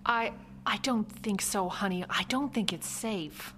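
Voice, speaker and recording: A woman's voice: mid-range voice, measured pace, over music, natural voice. A woman playing a fretful, passive-aggressive mother in a radio drama, hesitant and begrudging. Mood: reluctant disapproval. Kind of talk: radio horror drama acting.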